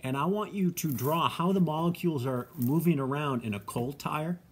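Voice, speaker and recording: Young guy teacher, kinda husky voice, chill garage how-to on his phone, says um a lot. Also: fairly high pitch, moderate pace. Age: young adult. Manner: relaxed, chatty, many ums, explains while working. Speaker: science teacher. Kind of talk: casual how-to lesson video. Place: home garage and driveway. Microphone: phone camera held close.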